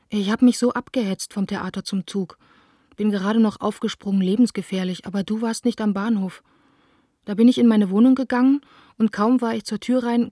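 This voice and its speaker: Radio play: actress as young woman in love, impulsive yet hurt, plaintive, soft dark tone, dry and close. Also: mid-range voice, no echo, natural voice. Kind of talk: radio drama letter reading.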